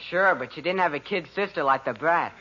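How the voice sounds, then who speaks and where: A man's voice: fairly high pitch, fairly quick, close to an everyday voice. A man playing an earnest, self-pitying fifteen-year-old son who stammers, in a 1940s radio sitcom. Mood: bickering. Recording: dull, noisy vintage radio recording.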